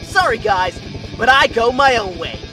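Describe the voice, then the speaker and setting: A woman's voice: on the high side, animated, a little slow, music in the background, low-quality recording. A woman voicing a cocky young male blue hedgehog hero in a fan audio drama, casual and breezy.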